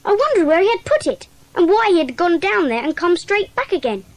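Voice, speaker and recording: Very high-voiced eager schoolboy sleuth. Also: female voice, big pitch swings, a little fast, no room sound, rough recording. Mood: earnest, puzzled. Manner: earnest, detailed storytelling. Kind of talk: dramatised children's audiobook acting.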